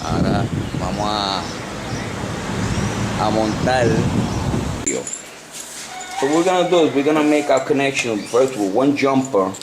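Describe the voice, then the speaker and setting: A man with a Puerto Rican accent, a native Spanish speaker, gives a casual hands-on tutorial as a hobbyist, halting, repeating and correcting himself as he goes. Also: medium pitch, lively intonation. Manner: halting, repetitive, self-correcting. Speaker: amateur radio hobbyist giving a tutorial. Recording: noisy amateur camcorder sound.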